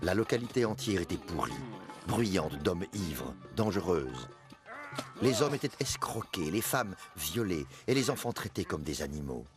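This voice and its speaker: Deep-voiced solemn male storyteller. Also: sing-song, moderate pace, music in the background. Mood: grave. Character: male companion of the Prophet, solemn devout storyteller recalling hardship. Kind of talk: dramatized first-person narration for an animated documentary.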